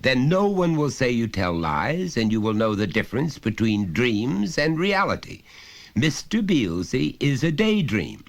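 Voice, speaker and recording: Stern, self-important father for an audio short story, man performing, insistent and lecturing, extremely animated intonation. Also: medium pitch, measured pace, pitched above the performer's own voice. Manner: emphatic, lecturing, pompous. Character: overbearing, pompous middle-class father, stern and insistent.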